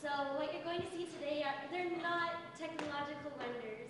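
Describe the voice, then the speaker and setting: A woman's voice: high voice, fairly quick, noisy background. A woman teacher presenting to an audience, speaking directly and engagingly to the room. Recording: echoey hall, far from mic.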